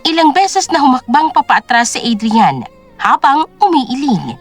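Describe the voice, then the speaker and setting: A woman's voice: high-pitched, big pitch swings, measured pace, faint music behind. A woman narrating a scripted story as a dramatic audio drama.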